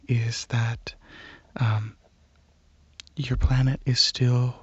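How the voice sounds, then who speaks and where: Soft, husky, hesitant alien dragon played by a man. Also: mid-range voice, flat delivery, no echo, lo-fi sound, full character voice. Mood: curious, earnest. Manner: hesitant, halting, softly earnest. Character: shy, gentle alien dragon host, curious about Earth. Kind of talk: ASMR roleplay, soft rambling monologue.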